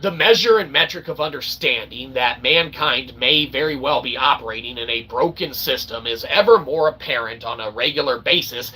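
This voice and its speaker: Gravelly, emphatic man ranting. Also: mid-range voice, poor audio. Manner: emphatic, repeats "okay?" constantly; rants with bursts of shouting and laughter. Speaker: channel host. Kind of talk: rambling livestream monologue.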